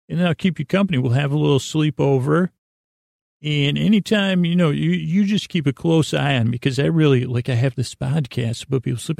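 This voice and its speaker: Gravel-voiced man rambling at bedtime. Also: medium pitch, a little mellow, dry recording, clean studio sound. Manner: meandering, halting, self-deprecating, whimsical. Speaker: host of a bedtime sleep podcast.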